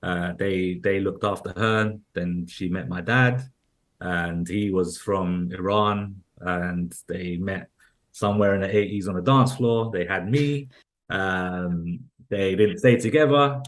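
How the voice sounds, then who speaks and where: A man's voice: sits low, steady tone, slow. A man from London with a London accent, talking as an interview guest in a loose, rambling way full of restarts and fillers. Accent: London English. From London, England. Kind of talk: casual interview conversation.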